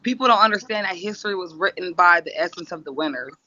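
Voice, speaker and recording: A woman's voice: on the low side, quick pace, pushed and bright. A woman talking at length in an interview clip, insistent and assertive, repeating her points. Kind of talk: rambling monologue. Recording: dull lo-fi clip.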